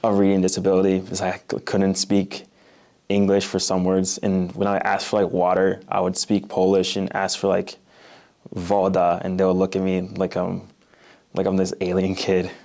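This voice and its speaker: Young Midwestern man, low voice. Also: monotone, normal speed, gravelly, a little mellow. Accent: Midwestern American. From Oak Park, Illinois, USA. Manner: casual, uses many "like" fillers. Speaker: interviewee. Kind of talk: read-aloud passage and casual interview.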